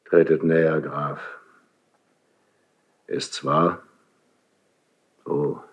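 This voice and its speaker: A suspicious, wounded monarch broods in a very deep voice full of gravel. He speaks in halting, imperious phrases, as in classical stage tragedy on the radio, taking his time over every word. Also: full character voice. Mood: tormented, demanding. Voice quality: gravelly, husky. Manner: slow, halting, theatrical stage delivery. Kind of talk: classical German verse radio drama.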